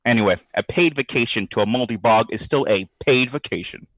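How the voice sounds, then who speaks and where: An actor playing a brash, sardonic skeptic who talks in slangy, theatrical quips in an audio drama diary. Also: male voice, medium pitch, brisk, no room sound, natural voice. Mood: cocky, prodding. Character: brash wisecracking skeptical man, boozy ghost-study participant.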